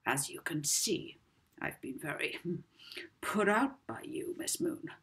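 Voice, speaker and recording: A sinister, manipulative male villain from a children's audiobook, acted out by a woman. The voice is very husky and breathy, drawling softly and slowly. He pauses deliberately, smooth and menacing. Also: fairly low pitch, sing-song, heavily acted. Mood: weary, veiled menace. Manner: soft-spoken, lazy drawl with deliberate pauses.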